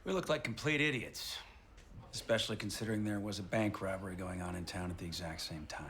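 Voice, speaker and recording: An actor playing a proud, disgruntled police detective, wry and grudging in a 1920s period TV drama. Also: male voice, low-pitched, fast, fairly bright tone, a little hiss or hum. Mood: irritated. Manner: sardonic, grudging.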